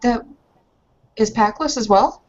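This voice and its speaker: A woman's voice: on the high side, slow pace. A woman playing a candid, hesitant young newlywed bride who is frustrated, in improvised tabletop roleplay. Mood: earnest, probing. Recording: dull lo-fi voice recording.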